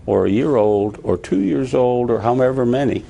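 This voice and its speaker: A man's voice: medium pitch, moderate pace, creaky, dark tone. A man with an American accent answering as an interviewee. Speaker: interviewee, former intelligence employee.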